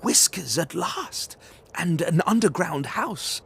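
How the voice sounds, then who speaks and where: Brief: a man putting on a bright, squeaky young girl monster for a storybook, brave and curious, swooping through a lively pitch, loud and eager. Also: slow, creaky, slight background noise, exaggerated voice. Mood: excited. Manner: excited, exclaiming.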